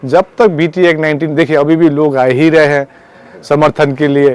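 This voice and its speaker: A man speaking as a protest organiser, giving a campaign statement in a halting, repetitive way and mixing in English words. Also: fairly high pitch, little pitch movement, clear, resonant tone. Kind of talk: spoken public statement on a campaign.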